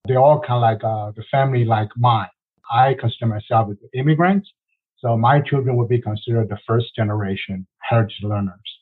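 A man in his sixties on a panel, recalling his school work with many hesitations. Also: mid-range voice. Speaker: panelist, community school principal. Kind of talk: conversational panel answer. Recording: tinny phone-like call audio.

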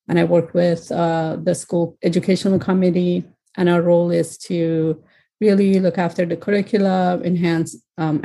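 A woman panelist giving a measured, slightly formal answer about her work in education. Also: on the low side, vocal fry, mellow. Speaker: panelist, language school vice principal.

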